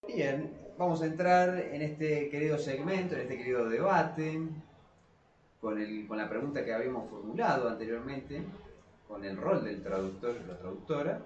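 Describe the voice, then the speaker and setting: A man's voice: mid-range voice, moderate pace, highs cut off, a little hiss or hum. A man with an Argentine Spanish accent hosting a casual podcast debate, explaining informally in a rambling, self-correcting way. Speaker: podcast host. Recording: echoey, reverberant room.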